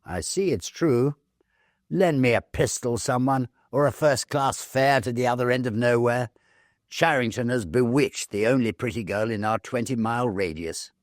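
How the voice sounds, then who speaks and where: Man acting a teasing, incredulous young gentleman. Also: mid-range voice, moderate pace, no echo, studio quality, pitched above the performer's own voice, natural voice. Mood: mock despair. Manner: mock-dramatic, bantering.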